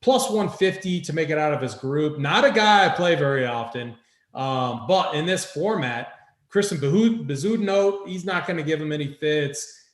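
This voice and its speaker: Higher-voiced man talking sports picks, roomy sound. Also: lively intonation, moderate pace. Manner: informal, conversational. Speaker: host of a fantasy golf picks show. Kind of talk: casual sports betting talk. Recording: roomy echo.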